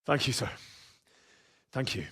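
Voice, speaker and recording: An actor playing a humble, eager young squire in a video game, slightly husky and earnest in his deference. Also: unhurried, a bit bright, natural voice. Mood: grateful, flustered. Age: young adult. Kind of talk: video game cutscene acting.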